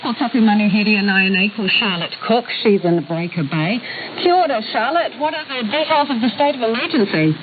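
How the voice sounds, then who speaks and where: Tinny shortwave radio, clear hiss, female news host, New Zealand accent, live presenting. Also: fairly high pitch, fairly quick.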